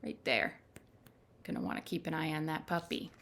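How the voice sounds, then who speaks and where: A woman reading picture books aloud to young children in simple, measured phrases, with little asides to her listeners. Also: low voice, animated, fairly bright tone, a little hiss or hum. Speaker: children's storytime reader. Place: at home.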